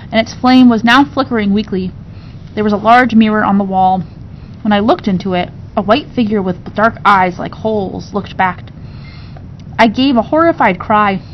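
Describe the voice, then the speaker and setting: A woman reading a classic novel aloud in an expressive storybook narration style. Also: normal speed. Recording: dull recording with low rumbling noise.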